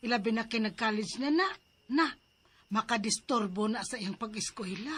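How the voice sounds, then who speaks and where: A woman's voice: a little high, expressive, moderate pace, a bit bright, a little hiss or hum. A woman playing a concerned, insistent friend in a radio drama, urging and emphatic, with a Cebuano accent. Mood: urgent concern.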